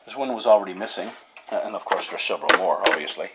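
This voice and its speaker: Deep-voiced man, halting casual demonstration. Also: bright, forceful tone, slight background noise. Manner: halting, pausing often mid-sentence. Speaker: hobbyist host of a repair video series.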